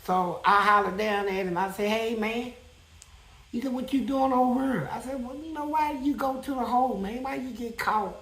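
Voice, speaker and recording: Man, gravelly, high-pitched, blunt, profane, echoey room. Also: moderate pace. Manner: blunt, profane, animated storyteller with many "man" and "right?" asides. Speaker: guest telling a prison story. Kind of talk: casual storytelling interview.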